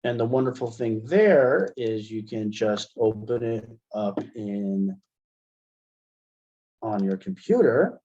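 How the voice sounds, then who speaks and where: Muffled, mellow American man teaching software. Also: fairly low pitch, expressive, slow pace. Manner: explanatory, step-by-step. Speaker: assistive technology trainer. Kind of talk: casual webinar software demonstration. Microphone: headset.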